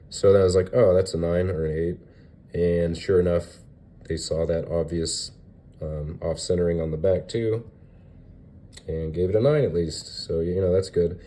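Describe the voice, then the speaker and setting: A young man with a low, very dark voice, a little nasal and stuffy from a cold he is getting over. He rambles casually through his card collection, hesitating often and filling gaps with ums and uhs. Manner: rambling, hesitant, lots of fillers. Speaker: card collector showing graded cards. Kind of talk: casual unboxing vlog.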